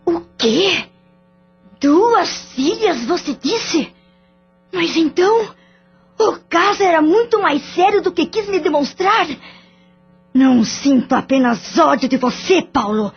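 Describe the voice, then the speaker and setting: A woman's voice: very high voice, lively intonation, slow, heavily acted. A woman playing a betrayed wife who speaks in a bitter, cutting and contemptuous way. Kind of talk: audio drama acting. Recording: dull lo-fi audio with faint music.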